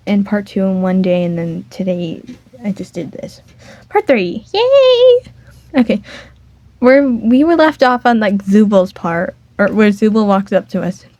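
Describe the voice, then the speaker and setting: A woman's voice: medium pitch, sing-song, unhurried, a little mellow, dry recording. A woman reading a fan dating sim aloud, rambling and stumbling over words, on a dull lo-fi recording. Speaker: fan game reader. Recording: dull lo-fi dry home mic.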